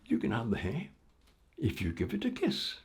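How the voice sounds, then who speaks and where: A man's voice: medium pitch, wildly swooping pitch, moderate pace, a little mellow, husky, full character voice. A man voicing a cheeky young hare herder in a folk tale, casual and teasing.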